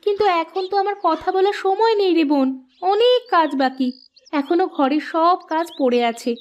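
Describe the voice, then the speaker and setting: Woman acting very high-pitched nagging housewife. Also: moderate pace, muffled recording, slight background noise. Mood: busy, friendly. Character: nagging but caring village housewife. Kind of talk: cartoon voice acting.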